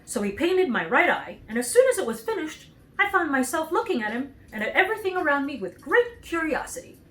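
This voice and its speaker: A woman's voice: high voice, big pitch swings, a little fast, fairly bright tone, voice pushed up. A woman voicing a cheerful, naive scarecrow in a storybook, speaking earnestly and with emphasis. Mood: proud, curious.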